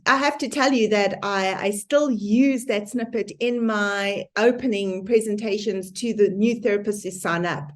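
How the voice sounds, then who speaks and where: A woman hosting an online summit interview, introducing and chatting with her guest in a conversational, appreciative way. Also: medium pitch.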